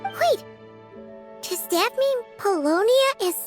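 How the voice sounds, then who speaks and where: A woman's voice: very high-pitched, takes it slowly, faint music behind, exaggerated voice. A woman voicing a tiny, eager, girlish companion creature in a video game cutscene who calls itself "we". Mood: sympathetic. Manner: eager, excitable, speaks of itself as "we".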